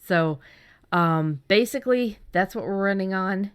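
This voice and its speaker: A woman's voice: mid-range voice, measured pace, clear, resonant tone. A woman hosting a casual podcast about writing fiction, chatting in an enthusiastic way. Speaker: podcast host.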